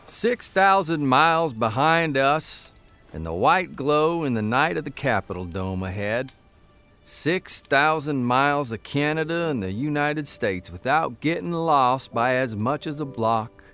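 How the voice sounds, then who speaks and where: Animated, gravelly folksy newspaperman. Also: mid-range voice, a little slow, soft background music. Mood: wistful, reflective. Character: exasperated, affable newspaper columnist husband, nineteen-thirties roving reporter. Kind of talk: audio drama acting.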